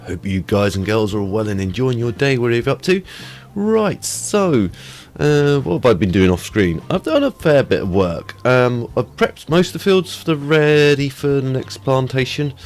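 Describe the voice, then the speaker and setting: A man's voice: mid-range voice, big pitch swings, moderate pace, hardly any pauses, a little mellow. A man with a head cold, chatting casually through gameplay as a let's play host, rambling with lots of ums.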